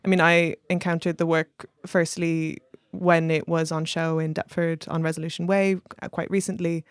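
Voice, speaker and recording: A woman's voice: low-pitched, level tone, normal speed, clear, resonant tone, no room sound, clean studio sound. A woman hosting a podcast in a conversational introductory style. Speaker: podcast host.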